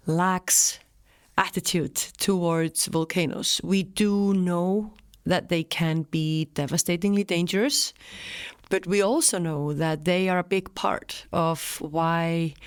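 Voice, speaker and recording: A woman talks in a relaxed, thoughtful way, often breaking into laughter. Her voice sits a bit low and sounds dry and close, with no room around it. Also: clean tone, no room sound. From Iceland. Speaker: guest, company co-founder. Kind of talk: casual interview conversation.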